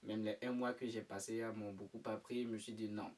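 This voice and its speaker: A man's voice: fairly low pitch, level tone, a little fast, darkish tone, distant mic. Young man speaking a bit off-mic. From Côte d'Ivoire. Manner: hesitant, many fillers and restarts. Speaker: interview guest. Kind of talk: casual interview answers.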